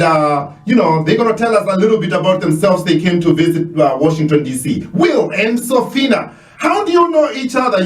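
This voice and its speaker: A man with a Kenyan accent hosting a radio interview in a studio, exclaiming often and talking with animated, effusive energy. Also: high voice, echoey room, recorded from a distance. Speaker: radio show host.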